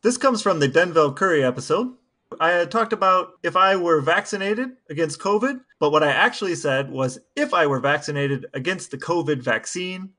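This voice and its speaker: A man with a slightly high voice co-hosts a podcast. He talks casually and drily, delivering humor in a matter-of-fact way. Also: expressive, moderate pace, pushed and bright. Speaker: podcast co-host. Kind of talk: casual banter, partly reading texts aloud.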